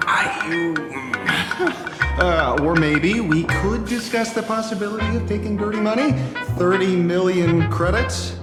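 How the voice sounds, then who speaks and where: A man's voice: sits high, measured pace, reverberant, in a large hall, over loud music. An actor playing a sardonic, theatrical, down-on-his-luck detective in a video game. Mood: sly.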